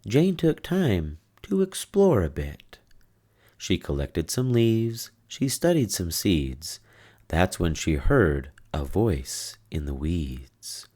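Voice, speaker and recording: A storybook narrator reads a children's tale aloud. The voice is extremely low and moves along slowly, line by line. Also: very animated, dark tone.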